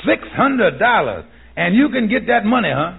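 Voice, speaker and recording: An actor playing a mild, inquisitive friend with a raspy voice and folksy, questioning talk, in old-time radio comedy style. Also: male voice, sits high, big pitch swings, moderate pace, full character voice. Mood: surprised, understanding. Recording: muffled 1940s-50s radio recording.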